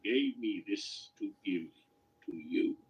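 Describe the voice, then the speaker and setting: A man's voice: fairly low pitch, animated, slow pace, lo-fi sound, a crowd in the background. An actor playing an authoritative old wizard in a radio play, testy and emphatic in his phrasing. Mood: measured, testy.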